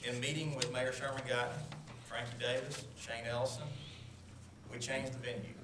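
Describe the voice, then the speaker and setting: An earnest man delivers a formal thank-you address from a council podium. His voice sits at an ordinary middle pitch. The big chamber makes it sound roomy, with steady background noise. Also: normal speed. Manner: earnest, lists names and thanks. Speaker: public speaker at city council.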